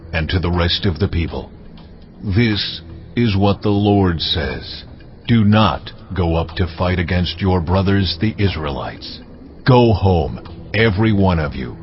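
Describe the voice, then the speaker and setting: An actor playing a solemn holy man who relays God's command with measured, weighty authority in a dramatised audio Bible. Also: sits low, lo-fi sound.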